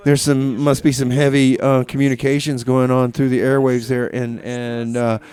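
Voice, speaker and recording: A man hosting a radio show with casual, rambling DJ patter in a halting, tongue-in-cheek, mock-official manner. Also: mid-range voice, little pitch movement, a little mellow, smooth, no echo.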